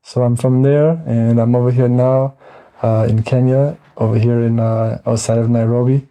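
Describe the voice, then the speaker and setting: An American man in his forties talking casually as an interview guest, easygoing and meandering, often pausing mid-thought. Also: medium pitch, level tone, mellow. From Wisconsin, United States. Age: 40s.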